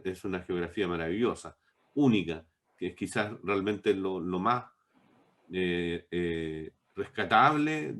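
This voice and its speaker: Low-voiced middle-aged man, reflecting. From born in Bolivia, moved to Chile as a child. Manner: discursive, halting, with repeated words. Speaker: interviewed novelist. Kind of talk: reflective interview conversation.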